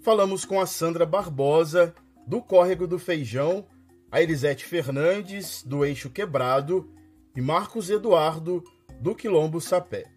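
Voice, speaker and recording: A man's voice: fairly high pitch, a little slow, darkish tone, husky, soft background music. A Brazilian man presenting a news programme in scripted narration.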